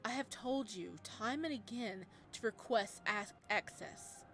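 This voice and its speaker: A woman voicing a protective male living god in a game story, who speaks in a sharp, cold, clipped way. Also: a little high, unhurried. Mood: angry.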